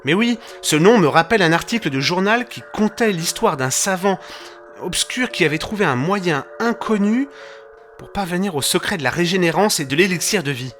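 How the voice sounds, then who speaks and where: Male actor as good-natured, slightly clumsy chronicler, earnest delivery, slightly high pitch, music underneath. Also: expressive, moderate pace, fairly bright tone. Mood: excited recall. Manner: bumbling, earnest. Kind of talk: French audio drama acting and narration. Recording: clear music behind the voice.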